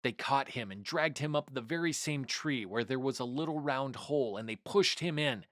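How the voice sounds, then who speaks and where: A man's voice: mid-range voice, moderate pace, fairly bright tone, dry recording. Man reading a children's storybook, dry close sound. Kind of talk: scripted narration, children's read-aloud.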